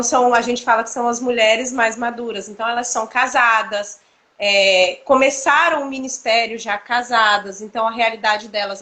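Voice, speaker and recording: A high-pitched woman, chatting casually on a video call. She tells her story in an animated way, laughing often and filling pauses as she goes. Her voice sits well above the usual for women. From Cuiabá, Mato Grosso, Brazil. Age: 30s. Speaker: church dance ministry leader, guest. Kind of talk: casual testimony chat.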